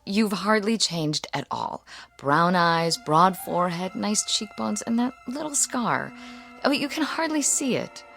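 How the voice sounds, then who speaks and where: An actress playing an exasperated wife in a radio drama, brisk and dismissive but placating her husband. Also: medium pitch, lively intonation, creaky, a bit bright, soft background music.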